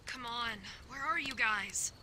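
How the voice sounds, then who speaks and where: A woman's voice: on the high side, unhurried, background noise, close to an everyday voice. A woman playing a headstrong, sarcastic young female soldier in an audio drama, with a sassy, combative manner. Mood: anxious calling.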